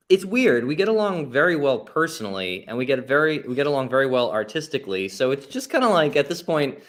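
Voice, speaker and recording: Man, medium pitch, rock musician guest, casual storytelling, informal, self-deprecating.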